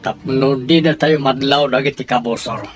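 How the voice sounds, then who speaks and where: A man's voice: medium pitch, steady tone, moderate pace, music in the background, natural voice. A man acting an urgent, helpful fellow who insistently urges his companions along in an audio drama. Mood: secretive, planning. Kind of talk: Ilocano radio-style audio drama acting.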